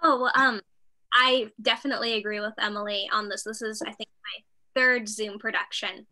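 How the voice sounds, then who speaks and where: A woman's voice: medium pitch, fairly even intonation, moderate pace, sharp tone. A woman actor chatting casually on a panel, acting out thoughts and reactions as quotes while she talks. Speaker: stage actor.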